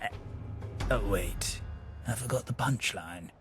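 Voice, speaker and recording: Jaunty, theatrical British game companion, low-pitched. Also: male voice, slow. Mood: chatty, droll. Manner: theatrical, wordy, mock-grand. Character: jaunty, theatrical, long-lived British adventuring companion, male. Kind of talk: scripted video game companion dialogue. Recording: game music behind the voice. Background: game music.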